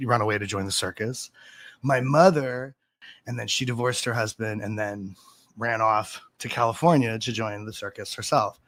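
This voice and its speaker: A man's voice: mid-range voice, a bit bright, dry recording. A man recounting his upbringing in candid, conversational testimony. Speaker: former member raised in the group. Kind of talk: personal testimony.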